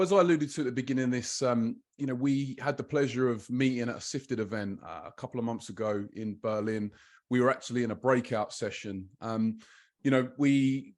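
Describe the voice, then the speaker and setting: Man, podcast host, mid-pitched voice, halting, filler-heavy interview talk. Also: darkish tone. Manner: hesitant, lots of fillers. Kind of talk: conversational interview.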